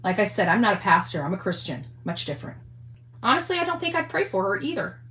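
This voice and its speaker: A woman on a casual rant, speaking in a halting, repetitive, emphatic way. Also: mid-range voice, big pitch swings, a bit bright. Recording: echoey room sound.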